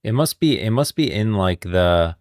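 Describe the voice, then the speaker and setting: A man streaming a game, chatting casually and thinking aloud in a halting, muttering way. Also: on the low side, animated, darkish tone, dry recording, clean studio sound. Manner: halting, muttering to himself while searching.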